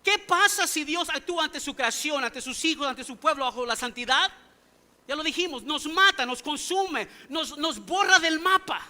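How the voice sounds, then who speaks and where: A man's voice: very high-pitched, animated, moderate pace, sharp tone. A male pastor preaching a sermon in a church, emphatic and full of rhetorical questions to the congregation, speaking in a language other than his native English. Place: church sanctuary.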